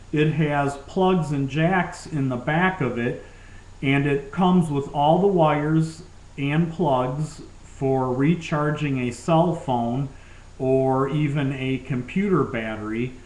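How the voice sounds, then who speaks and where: A man presents gear in an informal demonstration, speaking slowly in a slightly high-pitched voice. He sounds keen to recommend what he shows. The recording has a clear roomy echo. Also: a little mellow.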